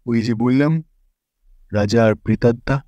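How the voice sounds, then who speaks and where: A man's voice: medium pitch, unhurried, mellow, slight background noise, lightly acted. A man voicing a grave palace guard who gives foreboding warnings of a ghost in a horror story.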